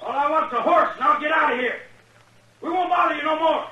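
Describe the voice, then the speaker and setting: A man's voice: very high-pitched, fairly quick. An American man playing a desperate, cornered outlaw in a western radio drama, calling out in a strained voice. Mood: desperate, threatening. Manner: strained, shouted across distance. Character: desperate, cornered male outlaw cattle thief. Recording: distant, echoing, dull old radio recording.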